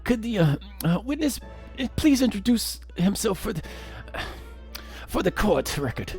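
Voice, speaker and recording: A man's voice: high voice, sing-song, moderate pace, a little mellow, faint music behind, pitched above the performer's own voice. A man voicing a prim, fussy bird prosecutor in a courtroom game, hesitant and proper in his phrasing. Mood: flustered.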